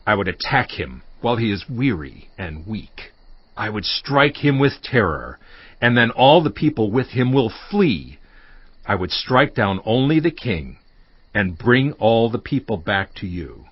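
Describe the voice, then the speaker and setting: An actor playing a shrewd, ruthless counselor in a dramatized audio Bible, speaking deliberately and emphatically. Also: male voice, fairly low pitch, big pitch swings, breathy edge, lo-fi sound, exaggerated voice. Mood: resolute.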